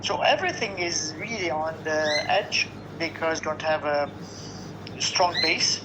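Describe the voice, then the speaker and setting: A man's voice: fairly high pitch, a little slow. A Lebanese man who runs a restaurant, answering a news interview in an earnest, weary way with short restarting phrases. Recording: dull lo-fi line with noise.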